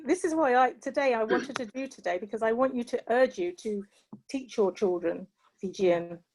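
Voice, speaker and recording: A woman's voice: fairly high pitch, normal speed, a little mellow, a little hiss or hum, low-quality recording. A middle-aged woman with a British accent, sharing personal reflections in an earnest, heartfelt way and often saying "you know" as she talks. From England. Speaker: panel guest.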